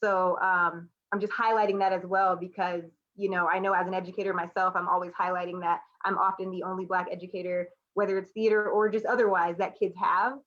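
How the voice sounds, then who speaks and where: A woman's voice: on the low side, little pitch movement, brisk, hardly any pauses, fairly bright tone, highs cut off. A woman from the United States talking candidly and conversationally as an educator and panelist in a reflective discussion. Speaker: artist, educator and student panelist.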